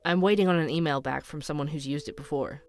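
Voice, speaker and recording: A man's voice: a little high, fast, close to an everyday voice. A man playing a curious, earnest investigative podcaster in an audio drama, with a polite, questioning way of talking and music behind him. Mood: polite, careful. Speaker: podcast host investigating a case. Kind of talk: audio fiction dialogue.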